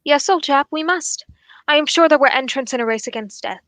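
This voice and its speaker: A young woman plays a keen and courteous detective in an old-time radio mystery. The voice is high and very dry, with formal, deliberate phrasing that stays composed. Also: fairly bright tone, no room sound. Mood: urgent, resolute. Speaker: actor in a student radio drama.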